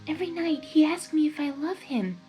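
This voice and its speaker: A woman voicing a gentle, timid female pegasus pony with a soft, breathy, hesitant delivery. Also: high-pitched, expressive, a little fast, soft background music, pitched above the performer's own voice. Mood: shy, confiding. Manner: hesitant, shy.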